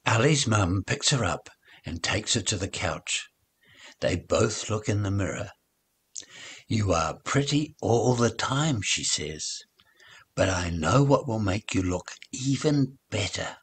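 An older man reading a children's story aloud, with expressive, deliberate phrasing. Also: fairly low pitch, husky. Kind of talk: children's read-along story narration.

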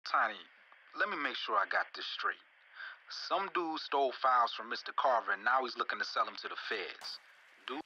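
A man's voice: mid-range voice, lightly acted. A man playing a laid-back crew member who talks casual street slang in a game phone call. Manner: casual street talk, unhurried.

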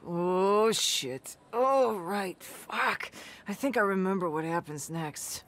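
A foul-mouthed boozy brawler. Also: female voice, medium pitch, expressive, slow pace, a bit bright. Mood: brash. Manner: crude, swearing, wisecracking.

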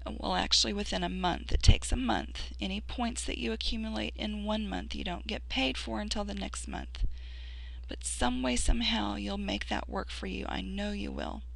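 Woman, hoarse, very gravelly, encouraging tutorial voice-over, dry close recording. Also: medium pitch, fairly even intonation, moderate pace, no room sound, poor audio. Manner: earnest, encouraging, with fillers and restarts. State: losing her voice. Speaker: sponsor giving a screen-recorded tutorial.

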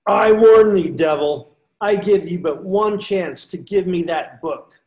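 A man's voice: sits high, a little slow, a little mellow, poor audio. An older man playing a devout, stubborn friar in amateur readers theater, with bold, declamatory archaic speech. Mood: threatening. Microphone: computer mic on a video call.

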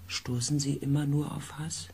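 A man playing an earnest, compassionate expedition researcher who tells his story gently and deliberately in a sci-fi radio play. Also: fairly high pitch, flat delivery, slight background noise, lightly acted. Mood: intense, remorseful. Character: earnest, compassionate male space-expedition researcher recounting events. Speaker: narrator and character in a radio play. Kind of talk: German sci-fi radio drama acting.

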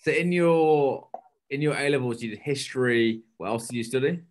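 A man in his thirties hosting a casual interview, asking questions in informal, halting phrases. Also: mid-range voice. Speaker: podcast host, interviewer. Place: indoor home room, video call.

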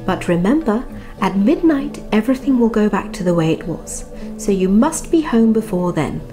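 A woman reads as a kindly older fairy godmother in a bedtime storybook. She is reassuring yet commanding, and speaks slowly and deliberately. Music plays behind the voice. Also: medium pitch, lively intonation, close to an everyday voice. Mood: gently warning.

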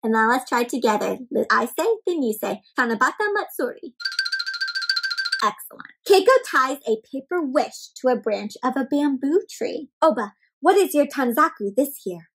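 A woman's voice: high voice, normal speed, husky, soft background music, low-quality recording. A young woman hosting an interactive read-aloud for small children, speaking expressively and often putting questions to her listeners. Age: young adult. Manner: animated, expressive, addresses children with frequent questions. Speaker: children's story-time host. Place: small indoor room at home with bookshelves and plants.

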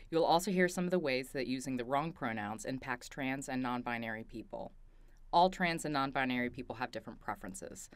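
A narrator reading a training script in an even, instructive way. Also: mid-range voice, brisk, smooth, no room sound.

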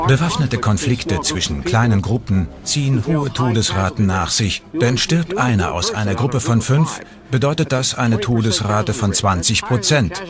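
A man's voice: mid-range voice, expressive, normal speed, few pauses, loud music behind the voice. A man giving explanatory expert commentary as a documentary voice-over.

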